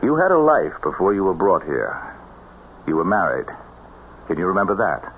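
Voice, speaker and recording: An actor playing an earnest, compassionate middle-aged American doctor in an old-time radio drama, speaking in a measured way with small hesitations. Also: male voice, low-pitched, sing-song, a bit bright. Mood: gently probing. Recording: muffled, noisy old radio drama recording.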